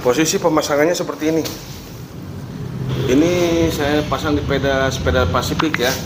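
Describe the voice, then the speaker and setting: A man's voice: a little high, steady tone, brisk, background noise. A man in his forties hosting a casual review vlog in an indoor workshop, chatting and explaining things step by step with friendly asides. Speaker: DIY channel host. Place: workshop with tools on the wall, indoors.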